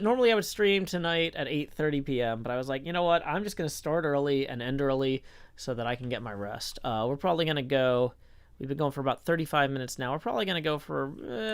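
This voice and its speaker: A man's voice: a little high, smooth. A man live-streaming a game, chatting in a rambling, casual way and answering his viewers as he plays. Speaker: livestreamer. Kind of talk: casual live-stream chat while playing.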